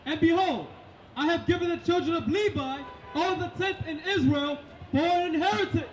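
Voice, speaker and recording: In an echoey live recording, a man calls out Bible verses. His pitch is extremely high, and he projects each phrase loudly as a declamatory reader. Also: normal speed, faint music behind. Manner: projected, shouted delivery. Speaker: scripture reader. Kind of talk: loud declamatory Bible reading. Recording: echoey, distant, slightly noisy live recording.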